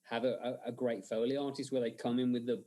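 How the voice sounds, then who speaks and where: An English man telling lively anecdotes as a guest in a casual interview, often slipping into mock accents. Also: medium pitch, fairly quick. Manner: animated storyteller who slips into Scottish impressions. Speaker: guest, special effects artist.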